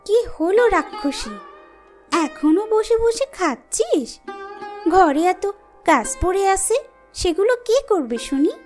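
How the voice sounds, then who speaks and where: A woman's voice: very high-pitched, sing-song, slow, long pauses, a little mellow, faint music behind, heavily acted. A woman voicing a cruel, domineering stepmother in a fairy-tale cartoon, scolding and taunting harshly.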